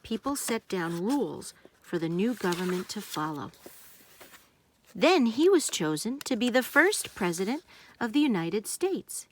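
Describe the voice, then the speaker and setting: A woman narrating a children's storybook, reading aloud in simple, deliberate phrases meant for young listeners. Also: mid-range voice, very animated, slight background noise.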